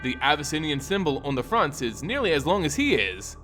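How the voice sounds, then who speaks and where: Expressive man narrating, lively pitch. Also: fairly quick, faint music behind. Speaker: narrator and host of a lore channel. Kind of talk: scripted story narration and recap.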